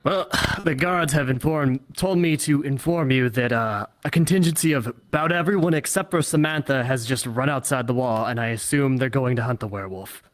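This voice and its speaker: A man's voice: medium pitch, normal speed, few pauses, natural voice. A man playing a hesitant, understated aide giving reports in a fantasy roleplay. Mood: hesitant, wry.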